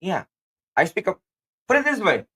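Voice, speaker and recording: A man from the Philippines, a native Kapampangan speaker, co-hosting a casual livestream chat and reading out viewer comments with animated emphasis. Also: high voice, moderate pace, husky. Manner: animated, emphatic, quiz-show asides. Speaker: co-host of a livestream.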